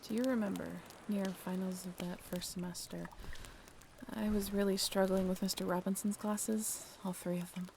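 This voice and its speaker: A young woman playing a friendly half-mermaid in an intimate audio roleplay, with a creaky voice and a casual, halting, wry and bashful way of talking. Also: mid-range voice, little pitch movement, a little hiss or hum, close to an everyday voice. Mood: tender, grateful. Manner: casual, halting, softly self-deprecating. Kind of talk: intimate audio roleplay, one-sided conversation.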